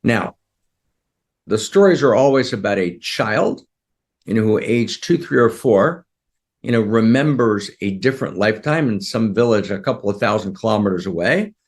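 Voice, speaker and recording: A man's voice: fairly low pitch, animated, normal speed. A man giving an earnest teaching session to a group, often repeating himself and checking that his listeners are following. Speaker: spiritual teacher.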